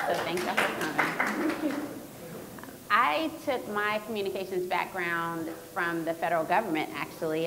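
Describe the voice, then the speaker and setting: A woman's voice: fairly low pitch, rough recording. A woman introducing herself on a panel, speaking earnestly and steadily. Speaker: panelist, organization president.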